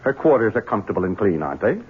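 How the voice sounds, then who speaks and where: An actor playing a domineering, nervous son in a 1970s radio drama, stammering one moment and curt the next. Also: male voice, lowish voice, sing-song, racing, slightly rough. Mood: cold. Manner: stammering when caught off guard, curt and commanding otherwise. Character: domineering Southern son, nervous, drinking and cruel. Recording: narrow-band old radio broadcast.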